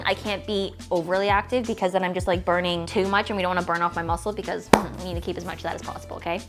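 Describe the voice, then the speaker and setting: A 29-year-old woman, a fitness creator, talking casually and at length, peppering her speech with fillers and gushing over cute toys. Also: fairly low pitch. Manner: chatty and rambling, full of "like" and "okay?"; gushing, squealy delight over little toys. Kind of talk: casual vlog Q&A and unboxing.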